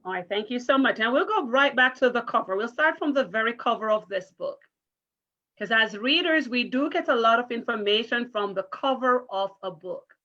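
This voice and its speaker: Muffled, high-voiced woman teacher. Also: expressive, normal speed, bright, forceful tone. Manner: encouraging, careful teacherly address with questions. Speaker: primary school teacher reading a story. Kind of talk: interactive children's read-aloud. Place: online virtual story time call. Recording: muffled video call audio.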